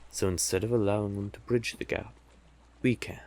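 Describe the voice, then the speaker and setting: A man voicing a cunning, monstrous sea witch who coaxes silkily in slow, theatrical endearments, in an intimate audio roleplay with a gravelly, husky voice. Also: lowish voice, steady tone, a little mellow, close to an everyday voice. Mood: persuasive, coaxing.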